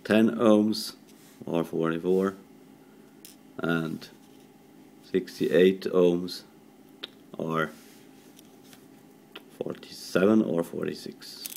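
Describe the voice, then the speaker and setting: A man with a low voice talks slowly through a hands-on electronics job. He is not a native English speaker and proceeds haltingly, in short phrases, like someone explaining while working at a bench. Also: steady tone, creaky, a little mellow, a little hiss or hum. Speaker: electronics hobbyist demonstrating a radio modification. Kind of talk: hands-on technical tutorial, improvised. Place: indoors at a workbench.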